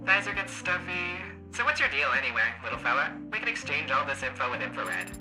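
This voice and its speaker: A woman voicing a brash, flamboyant, chatty female android court adjutant in a video game, loud and theatrical. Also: low voice, big pitch swings, quick pace, music in the background, pitched above the performer's own voice, rough recording. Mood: teasing. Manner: loud, theatrical, swooping, playful. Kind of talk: video game character voice acting.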